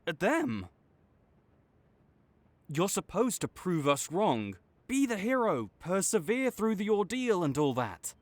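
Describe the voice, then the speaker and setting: A man playing a proud supervillain in a dramatic audio roleplay, grudging and exasperated, with a secret soft spot that makes him flustered. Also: high voice, very animated, slow pace, dry recording, heavily acted. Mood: urgent pleading. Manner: tsundere, grudging, exasperated, stammering when sincere.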